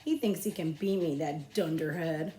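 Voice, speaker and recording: A woman's voice: low-pitched, normal speed, warm tone, reverberant, slight background noise, close to an everyday voice. A woman voicing a cocky, pushy fifth-grade boy with a slangy, needling manner in a storybook reading. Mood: smug.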